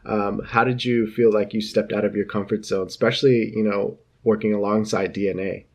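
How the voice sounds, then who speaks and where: A man's voice: on the low side, steady tone, a little fast, a little mellow. A man working as an entertainment interviewer, asking questions in a casual, conversational way over a video call.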